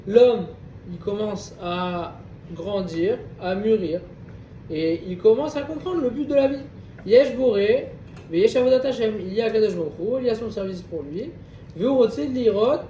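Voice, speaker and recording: A man's voice: high voice, mellow. A man teaching a Torah class. He reads Hebrew passages aloud, explains them, and checks with questions that the group follows. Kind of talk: reading Hebrew text and explaining in French. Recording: echoey room, distant mic, faint background noise.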